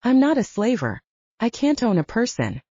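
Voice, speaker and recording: A woman's voice: a little high, wildly swooping pitch, moderate pace, mellow, slightly rough, voice pushed up, heavily acted. A woman voicing an irritable, principled young male wizard held captive, grumbling sarcastically in an audiobook. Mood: exasperated. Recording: roomy, dull lo-fi audio.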